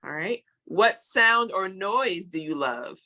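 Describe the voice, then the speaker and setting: A woman's voice: mid-range voice, animated, slow, pushed and bright. A woman hosting a casual interview, putting short questions to a guest and acknowledging the answers. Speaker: interview host.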